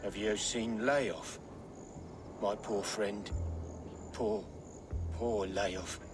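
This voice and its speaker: An actor playing a simple-minded, mournful gravedigger who speaks haltingly and repeats himself. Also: male voice, on the low side, steady tone, music in the background. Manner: slow, halting, repeats words, third person. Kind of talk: video game character acting.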